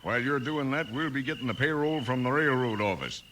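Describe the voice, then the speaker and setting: A man's voice: medium pitch, expressive, brisk, bright, forceful tone. A man playing a scheming, ruthless outlaw boss with an American accent and hard, commanding delivery in a 1950s radio western. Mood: scornful. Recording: slightly noisy vintage radio recording.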